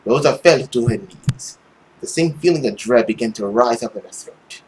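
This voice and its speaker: A man's voice: mid-range voice, lively intonation, moderate pace, a little mellow, a little hiss or hum, low-quality recording. A young man reading a visual novel aloud as a let's player, in dramatic narration.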